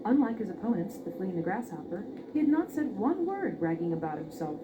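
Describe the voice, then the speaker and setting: A woman's voice: mid-range voice, sing-song, brisk. A woman narrating a scripted fairy tale expressively as a storyteller. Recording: dull, noisy old record.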